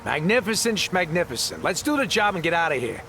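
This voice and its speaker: An actor playing a flippant, wisecracking rogue in a video game, with a brash manner. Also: male voice, fairly high pitch, sing-song, a little fast, noisy background. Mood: dismissive. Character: wisecracking, light-fingered male space rogue. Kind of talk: video game character lines.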